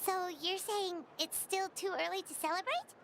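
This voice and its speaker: An actress voicing a tiny, excitable female companion creature from a game, with game music behind. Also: very high voice, moderate pace. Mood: chirpy. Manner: excitable, bouncy, childlike.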